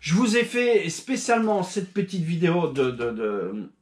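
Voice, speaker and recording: A man's voice: sits high, sing-song, a little slow. A man hosting his own hobby video channel, recovering from a cold, talking casually to subscribers with many fillers and restarts. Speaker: channel host. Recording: echoey room.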